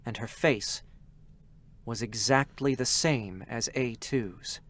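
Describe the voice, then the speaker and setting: A man's voice: mid-range voice, slow pace, a bit bright. An actor playing a youthful, earnest male scout android in a dramatic reading of a game character. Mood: solemn. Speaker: character narrator. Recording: music behind the voice. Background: music.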